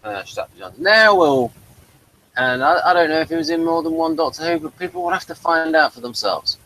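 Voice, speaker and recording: A man's voice: a little high, expressive, fairly quick, pushed and bright, slight background noise, rough recording. A man hosting an informal review, muddling along and thinking aloud as he presents. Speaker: host presenting an episode review. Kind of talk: casual chat, informal presenting.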